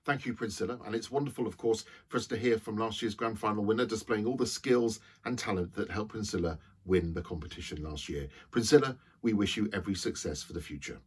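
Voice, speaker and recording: A middle-aged man co-hosting with a husky voice, giving scripted introductions over a webcam. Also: on the low side, fast, hardly any pauses. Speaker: co-host. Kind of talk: scripted presenting.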